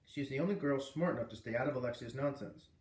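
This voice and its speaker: A man narrating a children's novel aloud in an expressive storybook style, as a read-aloud reader. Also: mid-range voice, fast talker. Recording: echoey room, distant microphone.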